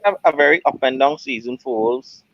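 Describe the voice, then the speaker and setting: Relaxed male guest, mid voice, muffled lo-fi call sound with no room. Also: mid-range voice, brisk, dry recording. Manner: laid-back, tags lines with "man". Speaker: hangout guest. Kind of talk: casual football chat.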